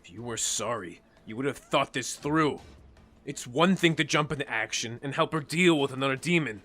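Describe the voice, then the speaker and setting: A man playing a bitter, wounded young man in an audio drama, with a raspy voice and a blunt, accusing manner. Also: mid-range voice, expressive, moderate pace, fairly bright tone, soft background music. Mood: angry, accusing.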